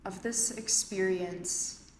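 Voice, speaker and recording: A woman leading a guided yoga nidra meditation as an instructor, speaking calmly and in measured phrases with long pauses. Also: medium pitch. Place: indoor urban yoga studio, recorded live. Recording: roomy echo, faint background noise, live studio. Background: faint ambient room and city noise.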